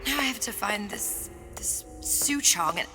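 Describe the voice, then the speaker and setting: A woman's voice: medium pitch, lively intonation, measured pace, close to an everyday voice. A woman playing a troubled, determined young heroine in a video game, earnest, hesitant and introspective. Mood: anguished, regretful. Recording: music clearly behind the voice.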